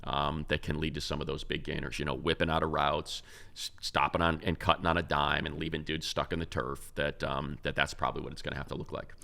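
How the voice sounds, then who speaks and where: A man co-hosting a casual sports talk show, breaking down a game in a rambling, animated way with many restarts. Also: a bass voice, a little fast, creaky. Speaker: radio play-by-play announcer, co-host.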